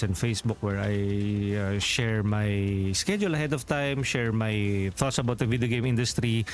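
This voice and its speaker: Rambling Filipino man streaming a game. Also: medium pitch. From Philippines. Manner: rambling, many "uh" fillers, chuckles to himself. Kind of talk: casual live-stream chat.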